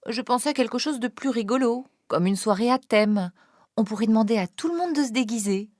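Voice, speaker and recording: A woman's voice: on the high side, lively intonation, brisk, a little mellow, no room sound, studio quality. A woman playing an eager, fun-loving wife in an acted dialogue for a listening exercise. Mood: enthusiastic. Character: wife eager to plan a fun anniversary party.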